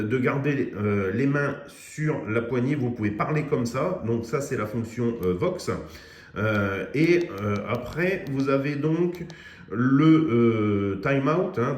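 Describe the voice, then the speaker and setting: A man's voice: mid-range voice, a little mellow. A man casually demonstrating a CB radio to viewers, chatty and full of fillers. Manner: chatty, many fillers, addresses viewers directly. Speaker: radio equipment reviewer. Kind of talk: casual product demo. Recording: echoey room, faint noise.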